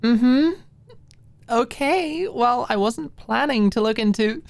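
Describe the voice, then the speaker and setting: Audiobook role: confident, amused young woman, voiced by a female narrator, lifted pitch, breezy and playfully teasing. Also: lively intonation, slow, vocal fry, darkish tone, no room sound, exaggerated voice. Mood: bemused.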